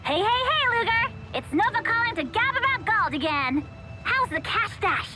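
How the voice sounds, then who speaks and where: A woman's voice: extremely high pitch, very animated, moderate pace, over loud music. An actress playing a chirpy, playful young woman in a video game, a debt caller. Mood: bubbly, teasing. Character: bubbly young woman debt caller who loves puns.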